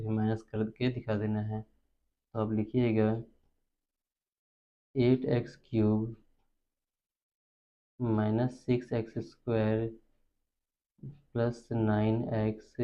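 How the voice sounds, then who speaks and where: A man's voice: on the low side, warm tone. A man with an Indian accent teaching maths step by step, instructional and repeating terms as he works. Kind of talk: step-by-step spoken lesson. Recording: dull lo-fi recording.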